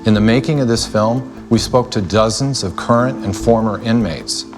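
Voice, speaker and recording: A man narrating a documentary in a calm, direct scripted read. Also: lowish voice, normal speed, over music.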